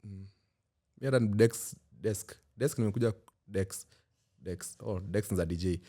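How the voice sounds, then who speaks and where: A man in his thirties with a Kenyan accent, hosting a casual chat podcast in a mix of English and Swahili, animated and quick to laugh. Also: fairly low pitch. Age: 30s. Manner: animated, laughs often, repeats himself when excited. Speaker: podcast host.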